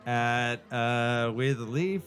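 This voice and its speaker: A man co-hosting a podcast, chatting casually and jokily in a rambling, halting way. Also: mid-range voice, a bit bright, soft background music. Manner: jokey, rambling, halting delivery. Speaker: podcast co-host. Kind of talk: casual comedic banter and book chat.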